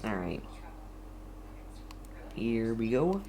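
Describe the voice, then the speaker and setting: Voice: mid-range voice, big pitch swings, drawn-out words, mellow, a little hiss or hum. A game streamer giving casual, rambling commentary, talking to themself and to viewers. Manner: rambling, talks to self and viewers. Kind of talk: casual chatty gameplay commentary.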